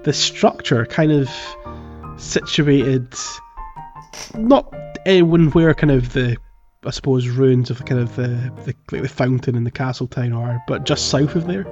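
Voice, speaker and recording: A man co-hosting a podcast, chatting informally and a little haltingly about playing a video game. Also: medium pitch, a little mellow, muffled recording, faint music behind. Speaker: podcast co-host. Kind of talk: casual chat about a video game playthrough.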